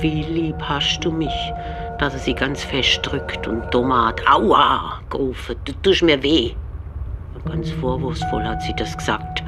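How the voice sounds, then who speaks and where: An actor playing a storyteller who muses on a troubled childhood in plain, colloquial words for a radio play. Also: male voice, mid-range voice, lightly acted. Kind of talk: dramatised narration in a radio play. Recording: music clearly underneath.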